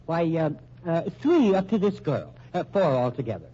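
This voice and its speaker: A man's voice: fairly high pitch, huge pitch swings, normal speed, warm tone. An actor playing a timid, fussy, formal married man who speaks hesitantly in an old radio drama. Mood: fussy, aggrieved. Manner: hesitant, fussy, formal, spells out his name. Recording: muffled, humming old radio recording.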